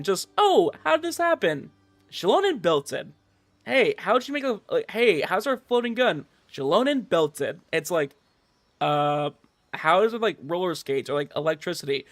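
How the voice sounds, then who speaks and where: Higher-voiced man ranting on a game stream. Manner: animated ranting, exasperated, swearing, repetitive emphasis. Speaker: game livestreamer. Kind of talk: casual livestream commentary, chatting with viewers.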